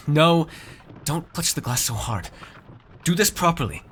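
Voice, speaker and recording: A man playing a haughty, shrewd noble master in a fantasy ASMR roleplay, speaking in measured, formal, condescending phrases to a servant. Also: fairly high pitch, expressive, fairly bright tone, a little hiss or hum. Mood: exasperated.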